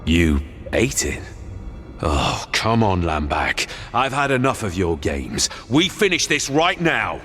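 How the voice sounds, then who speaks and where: A wry defiant game hero, lively voice, music under it. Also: male voice, lowish voice, slow. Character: determined male human hero. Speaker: game protagonist.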